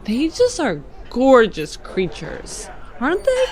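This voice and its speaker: A woman's voice: sits high, wildly swooping pitch, slow pace, darkish tone, heavily acted. A woman playing a curious, probing space traveller in a tabletop roleplay, speaking casually. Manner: inquisitive, casual. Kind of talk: improvised in-character tabletop roleplay. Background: background music.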